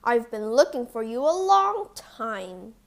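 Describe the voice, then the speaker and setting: A woman playing a watchful male huntsman in a fairy tale, deliberate and stern. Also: a little high, very animated. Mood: stern triumph.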